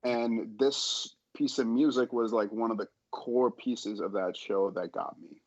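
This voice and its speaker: A man with a fairly low voice speaks casually as a podcast guest. He is earnest and halting, doubling back on words as he goes. The recording is a little muffled. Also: steady tone. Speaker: audio drama creator and editor, podcast guest. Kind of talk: casual interview talk.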